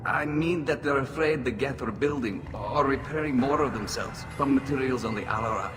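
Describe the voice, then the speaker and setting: A man plays a junior alien soldier in a video game, polite and a little awkward. His mid-pitched delivery is hesitant and deferential, set in long reverb with music behind. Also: moderate pace, lightly acted. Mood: uneasy, helpful.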